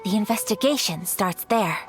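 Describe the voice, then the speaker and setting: A woman voicing a poised, formal young noblewoman swordfighter with a courteous, measured manner in a video game. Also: mid-range voice, expressive, over music, lightly acted. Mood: composed, formal.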